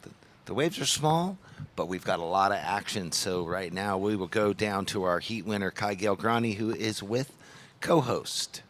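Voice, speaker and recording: A man's voice: on the low side, lively intonation, moderate pace, a bit bright, slight background noise. A man doing live commentary and announcing scores and priority at a surf contest. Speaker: webcast commentator and beach announcer.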